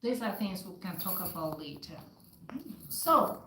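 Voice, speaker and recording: A woman's voice: lowish voice, animated, unhurried. A woman hosting a community discussion and storytime, speaking emphatically and repeating words as she builds her points. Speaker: host. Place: indoor group gathering. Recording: echoey room with faint noise.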